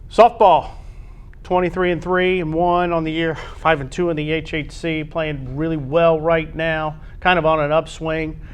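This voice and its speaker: Male sports show co-host, American, slightly high voice, chatty commentary delivered in short emphatic bursts. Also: little pitch movement, measured pace, clear, resonant tone.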